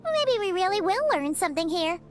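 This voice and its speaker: A woman voicing a small, childlike and excitable fairy companion in a video game, chatty and exclamatory. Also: very high voice, fairly quick. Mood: chatty, musing. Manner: exclamatory, chatty, refers to self in third person. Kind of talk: video game character acting.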